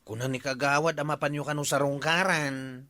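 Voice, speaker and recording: An actor playing an anxious villager bringing urgent news in a comedy radio drama. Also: male voice, a little high, steady tone, fairly quick, bright, forceful tone.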